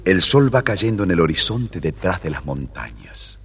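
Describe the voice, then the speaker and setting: Deep, expressive male storyteller. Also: moderate pace, gravelly, slightly rough. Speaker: storyteller, narrator. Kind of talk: scripted children's story narration. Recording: faintly noisy vintage story record.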